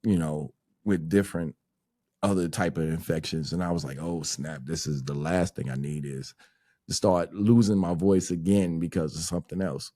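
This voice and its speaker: A man's voice: low-pitched, steady tone, normal speed, dark tone. A man hosting a podcast, chatting casually in a loose, rambling way with lots of fillers. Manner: loose, rambling talk full of "like" and "um". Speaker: podcast host.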